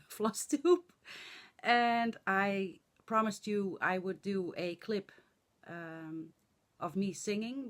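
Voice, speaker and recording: A middle-aged woman with a Dutch accent, speaking English as a second language, chatting in a hoarse voice to camera in a rambling, halting way with many fillers. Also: mid-range voice. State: hoarse from laughing and talking. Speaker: flosstube vlogger. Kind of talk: casual chat to camera.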